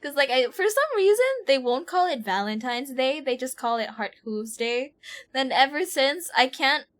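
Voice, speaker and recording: A woman livestreaming a game with casual, expressive, giggly chatter, often repeating herself. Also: sits high, normal speed. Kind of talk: casual chatty stream commentary. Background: faint game music.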